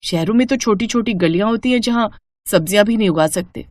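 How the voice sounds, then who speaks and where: A woman's voice: mid-range voice, quick pace, a little mellow, dry recording, studio quality, natural voice. A woman voicing a thrifty, polite young daughter-in-law in a cartoon story. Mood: thoughtful. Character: thrifty, hard-working young daughter-in-law, earnest and kind.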